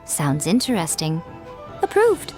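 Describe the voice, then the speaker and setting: A woman's voice: fairly low pitch, huge pitch swings, a little slow, over loud music. A woman voicing a sly, elegant fox spirit editor in an anime video game, poised, self-assured and drawing out her words. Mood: sly approval.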